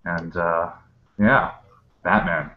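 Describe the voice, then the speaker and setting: A man's voice: deep voice, steady tone, slow. A man speaking as a voice actor guest in a casual, reminiscing chat over a video call. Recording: muffled, noisy internet call.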